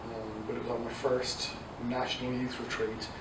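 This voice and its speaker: A man in his forties from Dublin with an Irish accent softened by English, answering interview questions conversationally as a youth ministry director. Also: mid-range voice, flat delivery, moderate pace. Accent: Irish mixed with English. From south Dublin, Ireland. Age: 40s. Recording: echoey, distant mic, noisy room.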